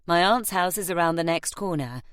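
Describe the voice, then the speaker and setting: A woman voicing a stern, protective young nobleman who speaks in low, clipped, snarling tones. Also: expressive, a little fast, clean tone, dry recording, clean studio sound, lightly acted. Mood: breezy.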